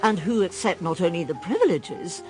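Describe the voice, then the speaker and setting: A woman's voice: lowish voice, very animated, normal speed, a little mellow, soft background music. An actress playing a dignified upper-class Conservative lady in a radio drama, speaking formally, sternly and with emphasis. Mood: stern, principled.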